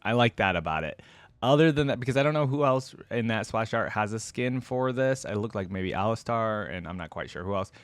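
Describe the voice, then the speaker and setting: A man giving casual, opinionated reaction commentary in an informal way, often softening his views as he goes. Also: lowish voice, lively intonation, fairly quick, no room sound, soft background music. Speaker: reaction video host.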